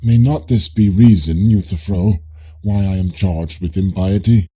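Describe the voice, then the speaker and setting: A deep, very dark male voice as a man reads the part of a Greek philosopher in an audiobook dialogue. He questions in a measured, politely ironic way, with a husky edge.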